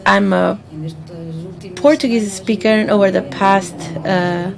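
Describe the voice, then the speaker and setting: A woman simultaneously interpreting a conference talk into English, pausing mid-phrase with fillers as she catches up. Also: mid-range voice, steady tone, creaky, a crowd in the background.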